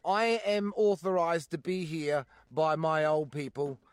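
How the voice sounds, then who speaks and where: A man speaking a defiant, steady activist message. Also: on the high side, measured pace, smooth, no room sound, clean studio sound. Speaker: land rights activist. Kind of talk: recorded spoken message.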